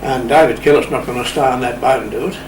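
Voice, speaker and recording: A man's voice: mid-range voice, quick pace. A man telling anecdotes informally as an interview guest, recorded at a distance in an echoey room. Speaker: radio club interview guest. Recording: echoey room, distant mic, clear noise.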